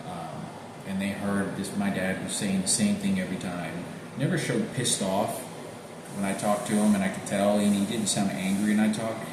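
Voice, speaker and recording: A man rambling through personal memories in a halting, repetitive way, often searching for names. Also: lowish voice, flat delivery. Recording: very echoey room with noticeable background noise.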